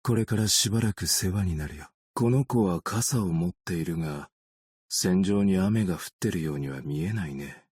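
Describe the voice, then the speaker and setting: A man voicing a weary, gentle, long-lived optician in a video game, measured, understated and quietly melancholic. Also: deep voice, husky, dry recording, clean studio sound. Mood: composed, courteous. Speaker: video game character voice actor. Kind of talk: scripted game character voice lines.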